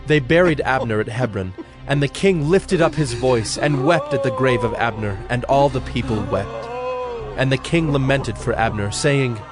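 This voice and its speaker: A man narrating dramatized scripture from a script in a measured, formal manner. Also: medium pitch. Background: music.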